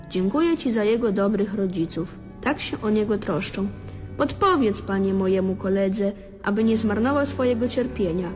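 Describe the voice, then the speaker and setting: A woman reciting a child's prayer in a gentle, earnest way, played from a recording in a radio drama. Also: mid-range voice, lightly acted.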